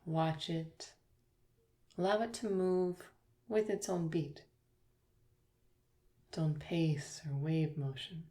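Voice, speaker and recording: A woman yoga teacher guiding a yoga nidra relaxation, speaking slowly and softly with long pauses. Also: low voice, steady tone. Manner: slow, soft, soothing guidance with long pauses.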